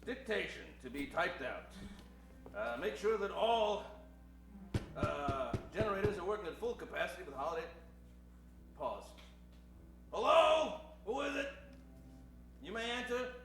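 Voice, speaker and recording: A man's voice: high-pitched, very animated, unhurried, long pauses, a bit bright, heavily acted. A man playing a crooked, blustering, pompous power plant boss in an improvised old-time radio play. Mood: officious. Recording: echoey live stage, distant mic, music behind.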